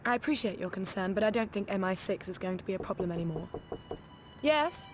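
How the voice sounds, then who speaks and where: A woman's voice: mid-range voice, lively intonation, brisk, background noise, close to an everyday voice. Composed, calculating actress as a female criminal mastermind. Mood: cool, businesslike. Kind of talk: cinematic video game acting.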